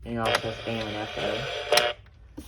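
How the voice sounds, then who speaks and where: A man's voice: lowish voice, monotone, creaky. A man giving a casual, hands-on show-and-tell as a collector, rambling and often correcting himself as he goes. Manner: halting, rambling, self-correcting. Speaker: radio collector showing a collection. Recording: noisy room with clicks.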